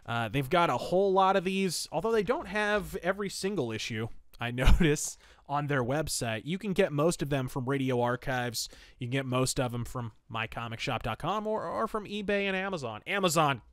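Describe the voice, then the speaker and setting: Male reviewer, lively intonation, theatrical, wry, wordy commentary, dry studio-like sound. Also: mid-range voice, moderate pace, no echo. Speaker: podcast host, reviewer. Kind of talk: semi-scripted review commentary.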